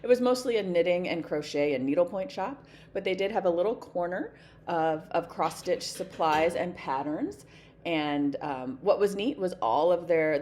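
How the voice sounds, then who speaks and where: Brief: hoarse woman vlogger, low voice, rambling casual chatter, faint room hum. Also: darkish tone. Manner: chatty, rambling, many ums, laughs often. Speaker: flosstube vlogger. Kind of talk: casual chat to camera. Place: home desk.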